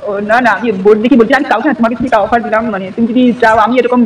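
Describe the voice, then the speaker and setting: High-pitched, rapid young man, hesitant. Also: steady tone. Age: young adult. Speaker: prank caller. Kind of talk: improvised prank phone call in casual chat. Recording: distant mic, faint background noise.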